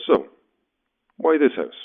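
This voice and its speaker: An actor playing a probing, awkwardly blunt Irish newspaper journalist, heard through a telephone filter in an audio drama. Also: male voice, takes it slowly. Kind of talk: naturalistic audio horror drama. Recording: thin, narrow telephone line.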